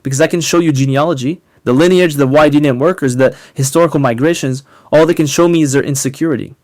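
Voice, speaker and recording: A man delivering a polemical monologue as an online commentator, speaking emphatically and rhetorically with deliberate pauses on key words. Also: fairly high pitch, a little mellow, no room sound, studio quality. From North Africa. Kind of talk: polemical monologue to camera, partly scripted.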